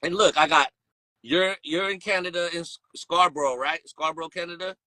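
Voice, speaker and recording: Bright high-pitched slangy man. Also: a little fast, dry recording, low-quality recording. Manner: slangy, rambling, laughs often. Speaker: podcast host. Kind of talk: casual chat.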